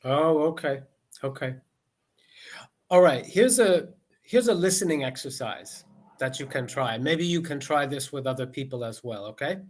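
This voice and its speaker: A man's voice: mid-range voice, lively intonation, normal speed. A man chats as an online English tutor over a video call. He is patient and keeps his questions simple, often rewording them. He habitually says "okay" twice before moving on. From United States. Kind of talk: casual conversation lesson over video call.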